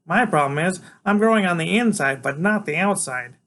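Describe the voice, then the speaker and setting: A man's voice: high voice, expressive, normal speed, bright, forceful tone, slight background noise, voice pushed up, full character voice. A man reading aloud, voicing a clever, bossy boy with grand, formal phrasing. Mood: lofty.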